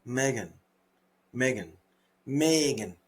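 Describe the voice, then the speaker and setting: A man's voice: medium pitch, animated, slow pace. A man with a Southern accent co-hosting a podcast, chatting casually, laughing often and trailing off while he searches for words. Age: adult. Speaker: podcast co-host. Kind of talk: casual chat.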